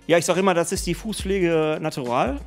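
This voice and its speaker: A middle-aged man and spa owner answering interview questions in a brisk, colloquial way, speaking into a handheld mic. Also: a little high, soft background music. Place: indoor spa studio. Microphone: handheld mic held by host.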